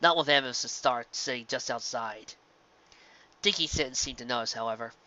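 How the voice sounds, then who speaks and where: Story narrator, crisp bright delivery, reading from a script, dull-sounding home recording. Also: medium pitch, moderate pace. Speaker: narrator of fan fiction.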